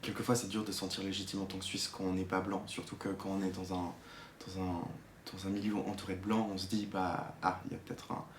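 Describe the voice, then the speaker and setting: A man's voice: low voice, fairly bright tone. A twenty-year-old man from Geneva gives an unscripted personal testimony, hesitant and searching for words as he explains. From Geneva, Switzerland. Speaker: drama student giving a testimony. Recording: echoey room with faint noise.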